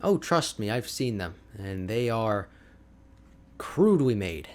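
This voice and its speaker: A man playing a slow-paced, boastful ice mage. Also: lowish voice, very animated, darkish tone. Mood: chatty, opinionated. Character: energetic, boastful, chatty male ice mage hermit. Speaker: audio roleplay voice actor. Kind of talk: ASMR character roleplay.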